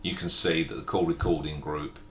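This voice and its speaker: Deep-voiced man giving an echoey software tutorial. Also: flat delivery, fairly quick. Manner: methodical, explanatory. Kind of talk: informal step-by-step screen tutorial narration. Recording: echoey room, background hiss and rumble.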